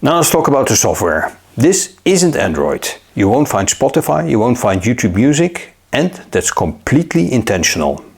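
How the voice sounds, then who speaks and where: A man narrating a scripted gadget review in a measured, upbeat way. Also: medium pitch, very animated, gravelly, slightly rough. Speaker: tech reviewer.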